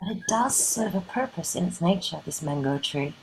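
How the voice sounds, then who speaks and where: A woman narrating a play in a dramatic scripted style, breaking it into short, deliberate phrases. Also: lowish voice, expressive, a little mellow, a little hiss or hum, rough recording.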